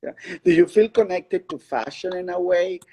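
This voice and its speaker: A man hosting a casual interview as a curator, putting questions in a halting way and rephrasing as he goes. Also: high-pitched, warm tone. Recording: clearly noisy, with clicks.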